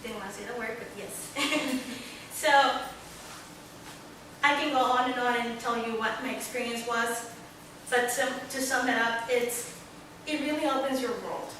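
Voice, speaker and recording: A woman's voice: on the high side, steady tone, pushed and bright. Young woman speaking far off in an echoey hall. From Mexico. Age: young adult. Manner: earnest, searching for words with many pauses. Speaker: student panelist. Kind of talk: panel talk at a book launch. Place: large echoey library hall. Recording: echoey hall, distant, room noise.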